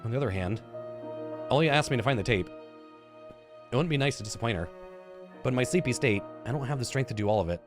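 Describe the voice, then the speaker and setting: Male let's player, 30s, animated narration of a story game with loose asides, music underneath. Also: mid-range voice, fairly quick, pauses often. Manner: informal, stumbles and rereads words. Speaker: gaming YouTuber, let's player. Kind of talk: reading visual novel narration aloud with casual comments. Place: indoor room, seated at a computer.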